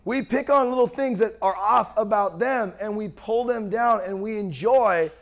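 A young man preaching a sermon with a worn-out, strained voice and a loud, projecting manner. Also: high voice, moderate pace, creaky. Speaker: pastor.

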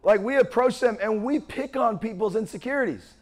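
High-voiced preacher man, hoarse. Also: brisk. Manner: loud, projecting preacher. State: strained, worn-out voice. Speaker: pastor. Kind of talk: sermon.

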